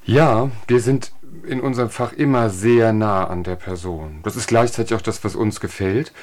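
Lowish-voiced man, speech trainer, calm teacherly explaining in a radio chat. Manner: measured, instructive, gives everyday examples. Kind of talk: radio interview guest, explanatory.

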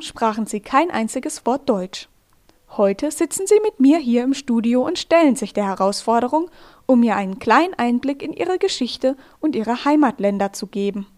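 A woman hosting a radio feature from a studio, telling the story in calm, measured, scripted narration. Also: on the high side. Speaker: radio host.

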